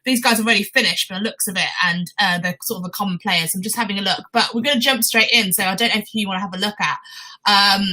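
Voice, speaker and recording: A woman's voice: medium pitch, steady tone, fast, sharp tone, poor audio. A woman hosting live chess commentary in a conversational, explanatory way, often putting questions to her co-commentator.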